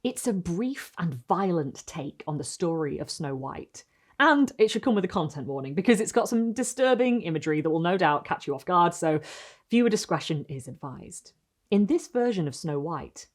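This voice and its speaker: A woman hosting and reading literary stories aloud in an expressive, theatrical style with deliberate phrasing. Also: fairly low pitch. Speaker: host and reader of a literary video series. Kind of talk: dramatic storytelling, scripted narration.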